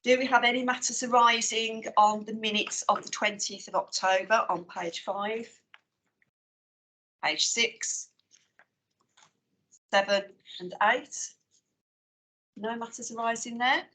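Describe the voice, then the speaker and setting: A woman with a West Midlands English accent chairing a formal committee meeting, working through the agenda in a measured, procedural way with frequent fillers. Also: a little high, pushed and bright, low-quality recording.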